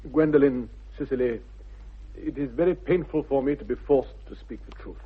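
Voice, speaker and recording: An actor playing a pompous, earnest upper-class English gentleman in a 1960s radio comedy, husky, formal and emphatic. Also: medium pitch, normal speed, natural voice. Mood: solemn, reluctant. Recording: tinny, phone-like old radio recording.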